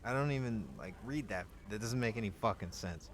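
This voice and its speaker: A man's voice: on the low side, a little fast. A man chats casually over his gameplay, swearing freely. He laughs at his own stumbles and mixes running commentary with loose asides. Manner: profane, laughs at himself. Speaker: let's play gamer.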